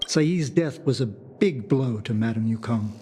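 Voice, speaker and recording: An actor playing a courteous, wistful long-lived subordinate in a video game, speaking in a formal, measured way. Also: male voice, mid-range voice, animated, slight background noise, lightly acted. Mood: somber. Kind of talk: video game character voice acting. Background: loud music.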